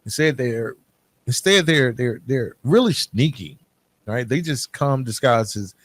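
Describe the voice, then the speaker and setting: A man's voice: mid-range voice, lively intonation, a little slow, a bit bright, dry recording. A man hosting a solo podcast, talking candidly and informally in a half-scripted, conversational way, checking in with "right?" as he makes his points. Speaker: podcast host.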